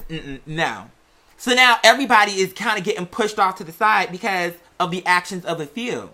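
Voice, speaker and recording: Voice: medium pitch, sharp tone. A sassy, blunt commentator chatting casually in slangy speech and giving opinionated takes on gossip.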